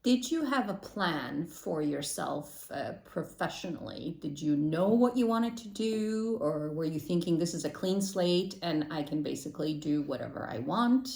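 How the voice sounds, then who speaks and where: A woman's voice: low-pitched, expressive, moderate pace, creaky, hardly any pauses, a little mellow. A woman co-hosting a podcast, asking short, curious follow-up questions. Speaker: podcast co-host. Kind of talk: casual interview questions. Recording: echoey room.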